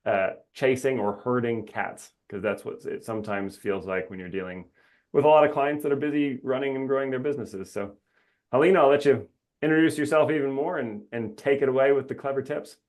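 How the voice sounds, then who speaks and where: A man's voice: mid-range voice, brisk, a little mellow. A man hosting a webinar in a casual, chatty way, upbeat and rambling, laughing often, heard through a video call mic. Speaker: webinar host.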